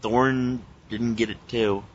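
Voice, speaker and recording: A man co-hosting a casual podcast with teasing, profane banter and halting, informal review talk. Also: medium pitch, steady tone, slight background noise. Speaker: podcast host. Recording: muffled lo-fi internet call recording.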